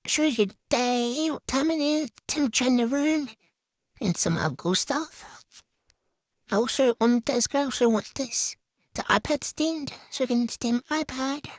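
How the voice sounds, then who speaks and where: A woman's voice: high-pitched, expressive, moderate pace, no room sound. A woman giving a casual, chatty show-and-tell voice-over in a hesitant, unscripted way that tends to trail off. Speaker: vlogger.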